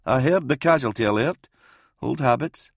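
A man's voice: medium pitch, moderate pace, gravelly, no echo, clean studio sound, pitched above the performer's own voice. A man voicing a frail but stubborn and dignified old regimental doctor in an audiobook, speaking earnestly and insistently. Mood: weary. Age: older. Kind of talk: audiobook character voice in military science fiction.

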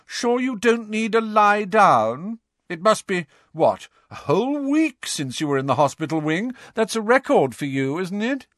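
A man voicing a sneering teenage boy who taunts his rival in a high, slow, mocking drawl. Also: very animated, fairly bright tone, dry recording, studio quality, full character voice. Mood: taunting.

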